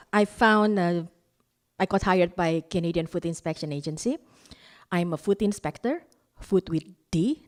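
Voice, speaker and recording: A woman in her forties from Indonesia, with an Indonesian accent tinged with Australian, telling self-mocking stand-up stories and laughing at her own jokes. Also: medium pitch. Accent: Indonesian with Australian traces. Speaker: stand-up comedian. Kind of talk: live stand-up storytelling.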